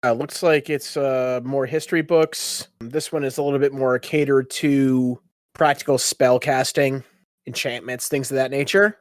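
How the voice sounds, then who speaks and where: A game master with a middling pitch, recorded dry and close. They narrate a tabletop fantasy game off the cuff, informally, slipping between description and casual chat with players. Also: steady tone, a little slow, no echo.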